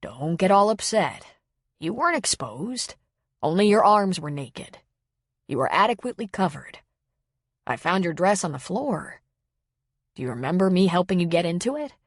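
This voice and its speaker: Woman voicing a calm, concerned male pastor, dry sound. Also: fairly low pitch, dry recording. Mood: reassuring. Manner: measured, soothing questioning.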